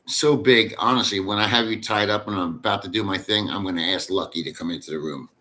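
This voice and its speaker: Male streamer, low-pitched, crisp forceful tone, swears constantly, loose rambling chat delivery. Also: fast talker. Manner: crude, profane and rambling. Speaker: livestream host reading chat. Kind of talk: casual livestream chat.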